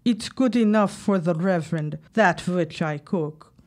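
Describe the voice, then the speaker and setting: An actress playing a devoted, concerned immigrant wife and housekeeper in an audio drama, with earnest, formal, old-fashioned phrasing. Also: female voice, on the low side, a little slow, natural voice. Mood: hurt, questioning.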